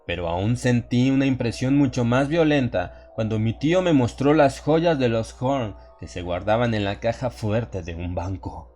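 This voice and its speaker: A male audiobook narrator with a mid-pitched voice tells a horror story in the first person. His delivery is solemn and measured, with dramatic emphasis. Music plays faintly behind him. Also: dark tone.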